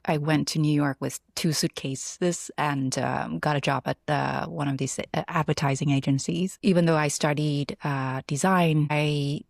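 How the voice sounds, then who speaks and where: A woman from Vietnam, answering interview questions in a reflective, halting, conversational way. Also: sits low, steady tone, gravelly, no room sound, studio quality. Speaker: interview guest, product builder and designer.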